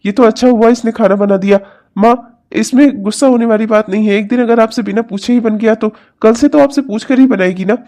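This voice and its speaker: A high male voice of a young married son, played by a man. He explains things earnestly as the peacemaker in a melodramatic family story. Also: brisk, warm tone. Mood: conciliatory.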